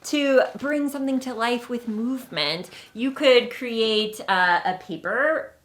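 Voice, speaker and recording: A woman co-hosting a children's show, explaining crafts casually in a gentle, instructive manner. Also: fairly high pitch, takes it slowly.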